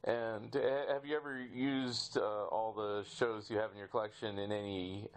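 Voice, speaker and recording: A man hosting a podcast interview in casual chat, with halting, rambling questions. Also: on the low side, fairly even intonation.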